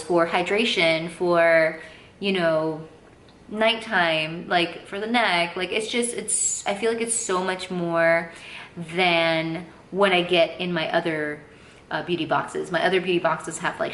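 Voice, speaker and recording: A woman's voice: fairly low pitch, fairly bright tone. A woman in her thirties chatting casually as a beauty vlogger at home, rambling with playful asides. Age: 30s. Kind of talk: casual unboxing chat. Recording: echoey room, faint background noise.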